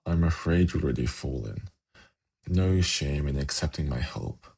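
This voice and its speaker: Man acting a very deep, gravelly demon prince. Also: a little mellow, full character voice. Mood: soft, coaxing. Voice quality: gravelly, husky. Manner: measured, formal, silkily condescending. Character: suave, haughty demon prince of Hell, coolly seductive. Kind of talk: ASMR fantasy roleplay.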